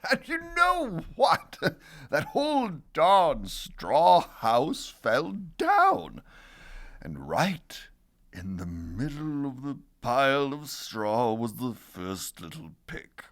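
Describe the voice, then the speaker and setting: Sly folksy storybook wolf, played by a man: wildly swooping pitch, slow drawn-out delivery, theatrical and confiding, all wronged innocence. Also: huge pitch swings, full character voice. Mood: sly, conspiratorial. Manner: theatrical, drawn-out, conspiratorial asides with chuckles. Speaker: storybook narrator in character. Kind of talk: animated children's read-aloud.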